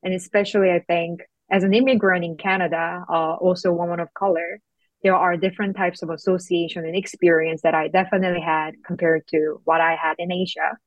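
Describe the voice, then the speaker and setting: A woman's voice: on the low side, flat delivery, a little fast, no echo, dull audio. A young woman from South Korea, a guest in an interview, speaking thoughtfully and reflectively with frequent fillers. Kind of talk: interview conversation.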